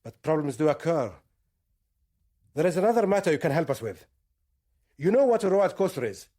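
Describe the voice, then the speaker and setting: A militant commander in a radio thriller, with a fairly high male voice. He probes and gives orders with smug, condescending authority and quiet menace. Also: sing-song, a little fast. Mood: peremptory. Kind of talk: radio drama acting.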